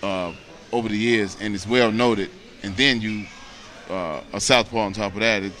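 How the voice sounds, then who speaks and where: An American man in his late thirties with a fairly low voice. He talks like a boxer giving a casual interview, earnest and rambling, padding his thoughts with "you know.". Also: normal speed, fairly bright tone. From United States. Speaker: professional boxer, interview guest.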